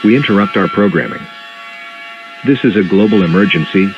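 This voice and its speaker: A man playing a solemn official emergency alert announcer, speaking in a formal, measured way. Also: deep voice, dull audio, faint music behind, close to an everyday voice.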